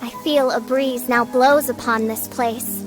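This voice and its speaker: A woman acts a grandiose teenage girl with princessly airs, in video game style. Her voice is high and delivered with dramatic, stately poise over music. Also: fairly even intonation, unhurried, natural voice. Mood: grand, solemn. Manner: pompous, archaic, dramatic phrasing.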